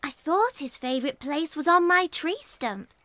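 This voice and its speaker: A woman's voice: high-pitched, lively intonation, normal speed, sharp tone, no echo. A woman voicing a sweet, fretful, childlike girl rabbit in a preschool cartoon. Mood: plaintive. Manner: plaintive, childlike. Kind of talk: preschool animated show dialogue.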